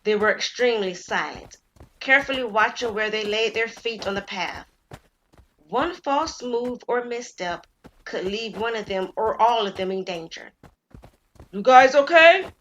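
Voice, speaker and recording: A woman storyteller reads a scripted suspense tale at a measured pace. Her delivery is clear and builds gentle suspense, in a voice of middle pitch. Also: lo-fi sound, a little hiss or hum. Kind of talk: scripted narration of an audio drama story.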